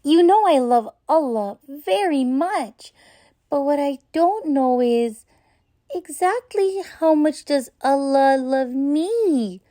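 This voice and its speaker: Woman voicing an eager, high-pitched little girl. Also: animated, slow pace, mellow, no room sound, studio quality. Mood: eager, earnest. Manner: urgent, earnest. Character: eager, loving little girl asking her mother. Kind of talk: children's storybook character voice.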